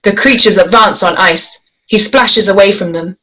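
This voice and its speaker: A woman reads a screenplay aloud at a measured pace, laying out scene directions and action lines. Her voice sits in an ordinary middle pitch. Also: bright, forceful tone, rough recording. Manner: measured, descriptive reading. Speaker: script reader. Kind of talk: screenplay table read.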